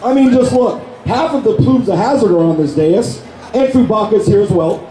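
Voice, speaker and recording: Hoarse guy roasting everyone, high voice, crude jokes, echoey hall, loud crowd. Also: normal speed, noisy background. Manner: brash, profane insult jokes. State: hoarse, lost his voice. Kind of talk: live roast comedy set. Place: convention stage before a crowd.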